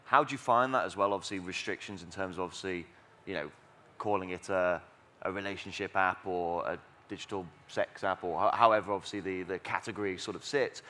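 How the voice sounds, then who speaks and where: A man's voice: low-pitched, fairly even intonation, fairly quick, sharp tone. A man moderating a conference panel, asking long, rambling questions full of fillers.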